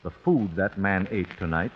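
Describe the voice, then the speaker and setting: An actor playing a cold, composed older father bent on vengeance, speaking in formal, measured phrases in an old-time radio drama. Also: sits low, crowd noise, natural voice. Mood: grave menace. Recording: muffled, hissy old radio recording.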